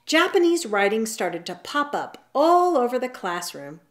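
A woman reads a children's picture book aloud. Her pitch is lively and swoops around while the pace stays slow, with expressive, drawn-out storybook phrasing. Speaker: storytime reader. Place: indoors at home, seated on a leather couch.

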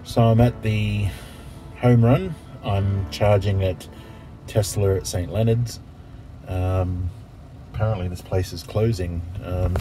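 A man's voice: deep voice, poor audio. A middle-aged man talking hesitantly and casually to camera for a road trip vlog, filmed at arm's length in a car or outdoors. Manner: hesitant, halting, thinks aloud. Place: parked car interior and outdoor roadside charging stops. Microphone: phone or camera at arm's length.

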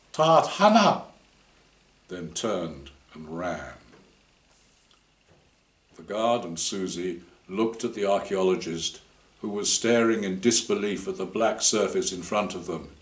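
An older man narrates a short story in a low voice. He reads slowly and deliberately, with noticeable room echo around him. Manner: measured, deliberate. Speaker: author reading his short story. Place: indoor room before a banner. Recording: roomy indoor echo.

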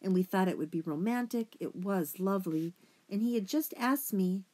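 A woman's voice: mid-range voice, expressive, normal speed, darkish tone, natural voice. A woman voicing an anxious, earnest young female mouse in a storybook, hesitant and pleading. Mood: anxious, halting.

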